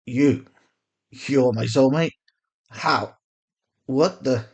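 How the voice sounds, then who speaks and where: A performer voicing a weary, resigned male interrogator with quiet menace, speaking in a measured, understated way in an audio drama. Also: medium pitch, slightly rough, voice pushed up, exaggerated voice. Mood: stunned. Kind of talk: acted audio fiction.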